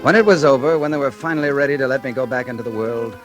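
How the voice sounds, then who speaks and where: A man's voice: mid-range voice, rapid-fire, close to an everyday voice. An actor playing a bitter, world-weary ex-convict who narrates his own story in 1940s radio acting style. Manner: wry, hard-bitten first-person narration. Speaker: narrator and lead character. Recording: old radio broadcast with music.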